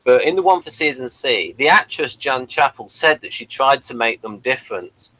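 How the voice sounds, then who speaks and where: Man with a very bright, sharp voice chatting. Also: medium pitch, expressive, normal speed, rough recording. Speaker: host of a TV review show. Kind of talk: casual review chat.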